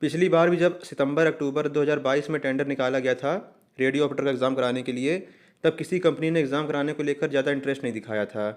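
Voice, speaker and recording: A man giving an informational explainer to students in a reassuring way, mixing in English terms. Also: mid-range voice. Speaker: host of an exam update channel.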